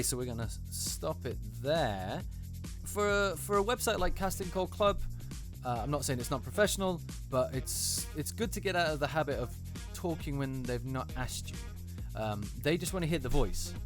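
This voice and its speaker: A man's voice: on the high side, lively intonation, moderate pace, music in the background. A man giving unscripted casual commentary as host, hesitant and often repeating himself for emphasis. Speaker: host, voice actor reviewing auditions.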